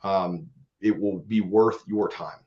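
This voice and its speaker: A man with a hoarse voice, recovering from illness, earnestly presenting in a church webinar. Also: low voice, steady tone, slow pace, a little mellow. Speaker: church outreach manager. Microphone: video call. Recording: dull lo-fi webinar audio.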